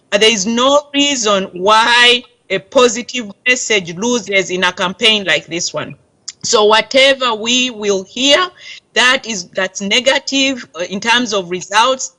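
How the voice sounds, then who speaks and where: A woman's voice: fairly high pitch, bright, forceful tone. A middle-aged woman from Uganda with a Ugandan accent, giving an earnest, rallying interview answer as a diaspora campaign volunteer.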